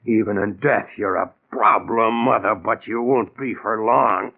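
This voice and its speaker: Cornered guilty husband in a 40s radio thriller, stammery, scheming, thin old recording. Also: male voice, fairly low pitch, unhurried, gravelly. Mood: panicked muttering. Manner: stammering, melodramatic. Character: tense, guilt-ridden husband turned murderous, cornered and scheming. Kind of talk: 1940s radio thriller acting. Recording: narrow, tinny 1940s radio recording.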